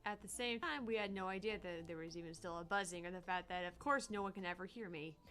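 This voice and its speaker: A woman's voice: lowish voice, fast, a bit bright. Casual woman co-hosting a gaming stream. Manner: profane, teasing. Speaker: gaming channel co-host. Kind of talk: casual banter. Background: music.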